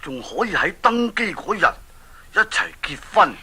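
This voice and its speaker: A man's voice: high voice, sing-song, bright, forceful tone, breathy edge, heavily acted. An actor with a Hong Kong Cantonese accent playing a kindly, sighing old king in a children's radio drama. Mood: jovial, proud. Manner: slow, sighing, theatrical. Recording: hissy 1980s cassette recording.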